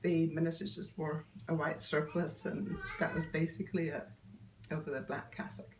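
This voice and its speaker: Older woman, lower voice. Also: steady tone, moderate pace, noisy background. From Yorkshire, England. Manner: chatty, laughs often, many ums. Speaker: interviewee. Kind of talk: casual storytelling interview.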